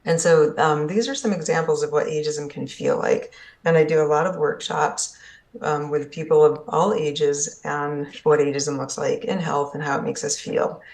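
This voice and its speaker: A presenter at a conference session speaks in an earnest, explanatory way. The voice has a gravelly, fry-tinged edge and sits at a middle pitch. Points often close with a quick "right?" to the audience. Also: steady tone, darkish tone. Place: summit session at an events complex.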